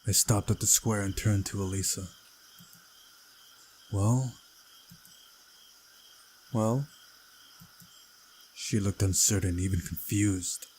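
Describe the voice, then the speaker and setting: A man's voice: low voice, flat delivery, moderate pace, few pauses, darkish tone, slight background noise. A man reading a visual novel aloud as narration, with casual side comments and an occasional stumble over the text.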